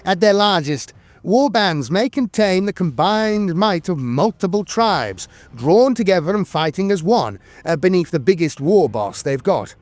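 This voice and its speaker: A man with a fairly high voice delivers scripted lore narration as a theatrical storyteller. His pitch moves in lively, animated swings, and he leans into emphatic words. The sound is close and dry. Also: no echo.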